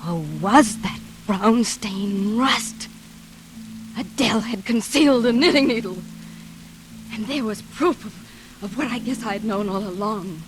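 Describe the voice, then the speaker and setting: An actress playing a terrified woman in an old radio thriller, with breathless, halting, melodramatic delivery. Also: medium pitch, noisy background, close to an everyday voice. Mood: hushed dread. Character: terrified woman recounting her mad sister's deadly menace. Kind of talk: 1940s radio suspense acting, dramatic first-person narration. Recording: old radio drama, music behind.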